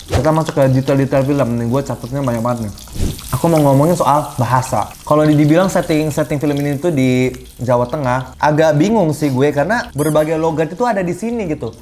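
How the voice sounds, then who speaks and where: A woman's voice: low voice, fairly quick, darkish tone, slight background noise. A woman reviewing a film in casual chat, blunt, swearing often and ranting animatedly.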